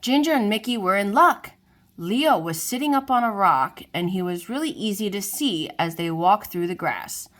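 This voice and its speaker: A woman reads a children's storybook aloud, lively and expressive as she narrates. Her voice sits at a middle pitch, neither high nor low. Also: moderate pace. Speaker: storybook reader.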